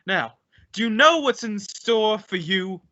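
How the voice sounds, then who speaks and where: A man's voice: high-pitched, expressive, a little slow, bright, forceful tone, dry recording, exaggerated voice. A man playing a pompous, blustering school principal in a theatrical old-time radio comedy style. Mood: menacing, mock-solemn. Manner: pompous, theatrical, mock-solemn. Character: pompous, blustering male high school principal, easily outraged. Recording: dull, lo-fi audio.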